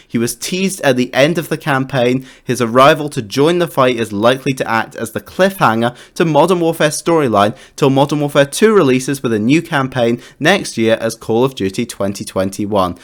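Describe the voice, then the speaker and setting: A man's voice: mid-range voice, fairly bright tone. A man narrating a scripted update for a video game channel as its host, enthusiastic and putting emphasis on each new point. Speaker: gaming YouTube host.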